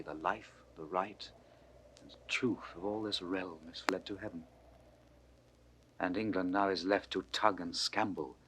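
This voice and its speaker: A man's voice: low voice, fairly bright tone, a little hiss or hum, low-quality recording. An actor playing a stern, suspicious, grief-struck nobleman in weighty, declamatory 1960s Shakespearean style. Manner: declamatory, weighty verse delivery. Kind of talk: 1960s classical Shakespearean recorded acting.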